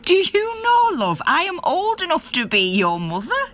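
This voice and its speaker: A woman's voice: very high-pitched, wildly swooping pitch, normal speed, fairly bright tone, exaggerated voice. An actress playing a middle-aged Yorkshire miner's wife, an indignant activist telling her story conversationally and acting out the dialogue in a naturalistic radio drama. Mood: aggrieved.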